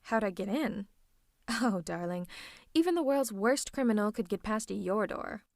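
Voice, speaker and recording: A woman's voice: medium pitch, lively intonation, normal speed, creaky, a little mellow, no echo, studio quality. A woman playing a cocky, flirtatious villain who teases and mocks in a theatrical roleplay audio. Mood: mocking, playful. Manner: teasing, mocking, theatrical. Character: cocky, flirtatious female villain taunting a hero. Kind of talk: scripted villain roleplay ASMR.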